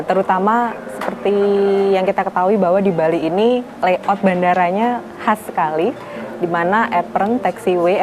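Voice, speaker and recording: A woman with a medium-pitched voice explains her work in an interview. She has an Indonesian accent, pauses often and drops in English jargon, with music clearly behind her. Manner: hesitant, mixes in English terms. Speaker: air traffic controller.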